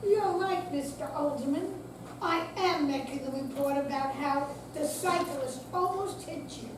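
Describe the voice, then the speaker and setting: A woman playing a stern, by-the-book police officer in a staged reading, speaking formally, firmly and deliberately. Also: high-pitched. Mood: official. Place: senior center hall, live performance. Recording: echoey room, distant mic, loud noise.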